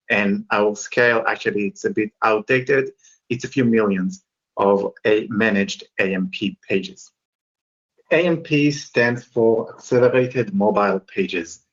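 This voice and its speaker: Israeli man, guest presenter, lower voice, orderly explainer, muffled call audio. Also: fairly bright tone. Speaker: guest presenter, company co-founder. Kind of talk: webinar presentation.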